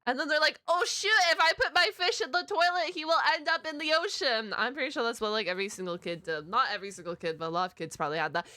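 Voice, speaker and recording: A woman's voice: fairly high pitch, sharp tone, no room sound. A woman hosting a reaction video, commenting casually in a slangy, exclamatory way.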